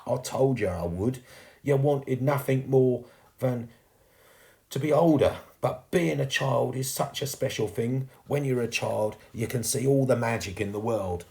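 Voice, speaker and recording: A man's voice: mid-range voice, a little slow, gravelly, mellow, voice pushed up. A man playing a cheeky ghost boy. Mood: wistful, tender. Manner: colloquial, chirpy, teasing. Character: cheeky young ghost chimney sweep boy, warm-hearted cockney.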